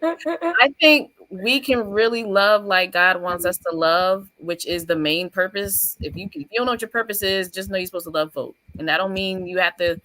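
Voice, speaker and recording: A woman teacher appearing as a guest, speaking in a warm, encouraging way about faith. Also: medium pitch, normal speed, no echo, rough recording. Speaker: guest, first-grade teacher. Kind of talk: casual chat.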